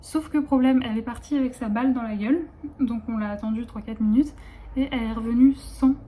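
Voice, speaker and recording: A woman's voice: fairly high pitch, a little fast, dark tone. A woman in her late twenties chatting casually in a vlog, rambling with many fillers and restarts, recorded on a phone. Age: late 20s. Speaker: vlogger.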